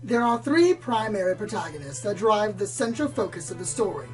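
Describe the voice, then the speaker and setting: A woman's voice: mid-range voice, animated, brisk, darkish tone, faint music behind, natural voice. A woman playing a bookish, slightly prim pony book reviewer in a radio play, speaking formally and articulately. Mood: earnest, formal. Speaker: on-air book presenter.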